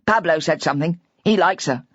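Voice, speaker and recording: A woman's voice: on the low side, a little fast, slightly rough, no room sound, highs cut off. A woman reading as a distraught older husband. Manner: hysterical, rambling.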